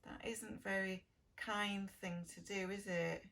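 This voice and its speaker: A woman teacher telling a story to preschool children in a sing-song, exaggerated way, gently asking them questions. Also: mid-range voice, fairly even intonation, a little slow. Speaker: preschool early years teacher reading a story.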